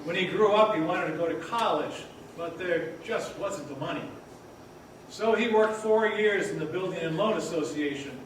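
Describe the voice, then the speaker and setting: An actor playing a patient, folksy heavenly storyteller, narrating a stage radio drama in a conversational, explanatory way. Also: male voice, sits high, normal speed, lightly acted. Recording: very echoey, distant, noisy stage recording.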